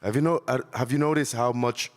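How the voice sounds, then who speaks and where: A man from Panama speaking from the audience at a conference on a handheld mic, his voice raspy after a night out, cheerful and joking. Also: mid-range voice, fairly even intonation, brisk. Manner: cheerful, joking, laughs between lines. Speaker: conference attendee. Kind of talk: casual remarks from the audience. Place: large conference hall. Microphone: handheld mic passed around. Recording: long hall reverb.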